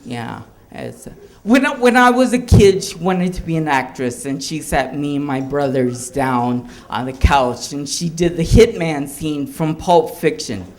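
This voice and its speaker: A male stand-up comedian from Hawaii telling jokes on stage in a halting way, with restarts and chuckles. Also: fairly high pitch, a little hiss or hum.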